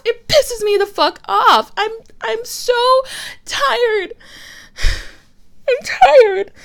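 High-pitched young girlfriend, a woman acting her out, fed up and cursing at her partner. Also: fairly bright tone, full character voice. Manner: swearing, exasperated, rapid outbursts. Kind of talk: one-sided roleplay audio.

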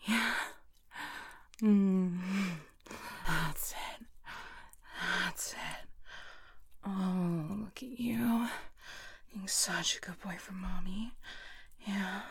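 A woman performing intimate adult audio roleplay in a breathy, whispery voice, with a teasing, dominant manner. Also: mid-range voice, no room sound. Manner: slow, teasing, dominant murmuring.

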